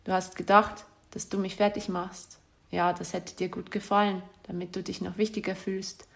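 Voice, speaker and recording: A woman's voice: mid-range voice, level tone, normal speed, creaky. A woman reading out a letter she wrote to her former partner, in a bitter, accusatory and insulting way. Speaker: spurned ex-partner.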